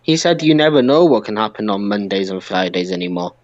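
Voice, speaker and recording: A man's voice: fairly low pitch, animated, a little fast, dry recording, highs cut off. A man co-hosting a podcast, talking casually and informally about wrestling with other hosts. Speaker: podcast co-host.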